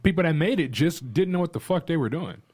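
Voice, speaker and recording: A man's voice: fairly high pitch, expressive, quick pace, a little mellow, husky. A man talking casually as a podcast panellist, colloquial and opinionated. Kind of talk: casual conversation.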